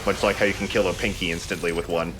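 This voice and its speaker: A man's voice: low-pitched, steady tone, fast talker, rough recording. A man giving casual, conversational video game commentary as a let's play host, explaining as he goes. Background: game music.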